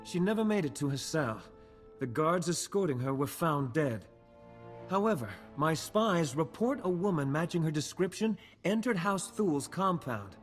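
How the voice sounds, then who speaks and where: Game diplomat: male actor as young nobleman, fairly high voice, formal, worried. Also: animated, faint music behind, close to an everyday voice. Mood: grave, worried. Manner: formal, measured wording.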